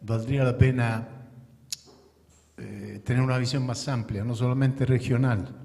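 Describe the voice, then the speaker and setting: A man's voice: mid-range voice, fairly even intonation, measured pace, gravelly, warm tone. A man, an audience member winding his way toward a question. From Italy. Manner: discursive, building up to his question. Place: lecture hall. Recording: long reverb in a hall.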